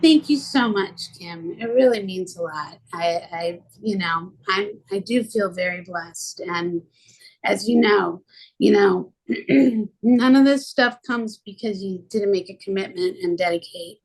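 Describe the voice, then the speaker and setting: A woman with a raspy, rough voice hosting a podcast, talking in a rambling, affectionate way with frequent restarts. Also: mid-range voice, measured pace, no room sound, poor audio. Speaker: podcast host. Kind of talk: casual conversational podcast chat.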